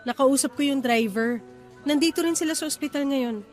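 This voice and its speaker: An actress playing a caring, steady wife and mother in a Filipino radio drama, reassuring and reasoning. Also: high voice, normal speed, soft background music, lightly acted. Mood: calming, pleading.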